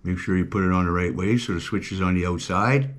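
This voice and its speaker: Middle aged guy w a real low voice, plain matter-of-fact how-to talk at his workbench. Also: fast. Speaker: outdoors channel host. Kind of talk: casual how-to demonstration. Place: indoors at a workbench.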